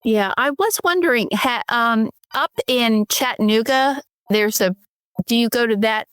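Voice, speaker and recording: A woman's voice: a little high, unhurried, fairly bright tone, clear, resonant tone, no room sound, clean studio sound. A woman hosting a casual interview, friendly and rambling, often restarting her sentences. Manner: friendly, rambling, self-correcting. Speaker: podcast host.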